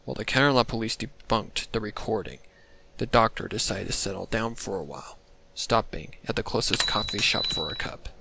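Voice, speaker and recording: A man's voice: on the low side, brisk, gravelly, pushed and bright, a little hiss or hum, low-quality recording. A man reading scripted story narration as the narrator of a fan fiction.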